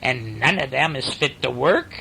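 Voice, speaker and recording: A man voicing a cruel, cackling, commanding wicked witch with a raspy, creaky voice for a storybook tale. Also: mid-range voice, animated, exaggerated voice, low-quality recording. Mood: scornful, cruel. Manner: shouted, drawn-out, cackling delivery. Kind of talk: storybook character voice.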